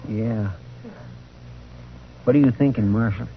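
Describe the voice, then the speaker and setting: A man's voice: medium pitch, slow pace. A man acting an earnest, homespun deputy sidekick with a Western American accent in a radio western. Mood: puzzled, watchful. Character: excitable rustic deputy sidekick to the marshal. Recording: muffled, hissy old radio recording.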